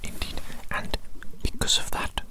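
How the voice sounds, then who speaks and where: A performer voicing an evil mad doctor in a whispered ASMR roleplay, doting on a monster creation with slow, repetitive, formal phrasing. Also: close to an everyday voice. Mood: doting, scheming. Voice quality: breathy unvoiced whisper.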